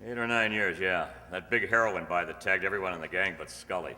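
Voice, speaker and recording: An actor playing a businesslike police detective and narrator in a radio crime drama, terse and deadpan. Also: male voice, low voice, brisk. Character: businesslike police detective who also narrates, clipped and matter-of-fact. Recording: echoey hall, loud music behind.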